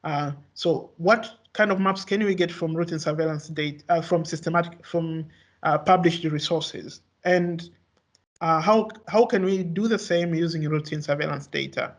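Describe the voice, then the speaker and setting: A young man whose first language is Swahili gives an academic research talk, halting often with fillers and restarts. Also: fairly high pitch. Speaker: researcher presenting.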